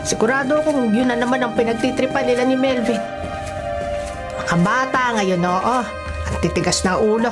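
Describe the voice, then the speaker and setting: Actress as a grumbling Filipina nanny, music behind. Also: female voice, a little high, moderate pace, lightly acted. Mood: exasperated. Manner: muttering to herself. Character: grumbling household nanny, exasperated by mischievous children. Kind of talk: Filipino radio horror drama acting.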